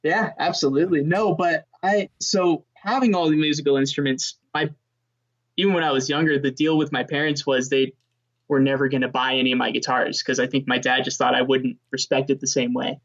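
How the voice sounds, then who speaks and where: A young man, a guitarist, chatting casually as an interview guest in a relaxed, easygoing way, often saying man and dude. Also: a little fast, highs cut off. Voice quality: high voice. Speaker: touring session guitarist, guest.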